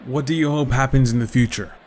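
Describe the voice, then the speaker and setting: A man's voice: mid-range voice, fairly even intonation, fast talker, a little mellow, slight background noise, close to an everyday voice. A man acting a friendly, stubborn young ninja in an anime-style audio drama, with a casual, questioning, conversational delivery. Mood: earnest, pondering. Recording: music behind the voice.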